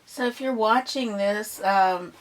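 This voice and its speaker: A woman teaching a live painting lesson, who is losing her voice and keeps clearing her throat, murmuring relaxed step-by-step commentary. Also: medium pitch, slow pace. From Pittsburgh. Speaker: painting instructor.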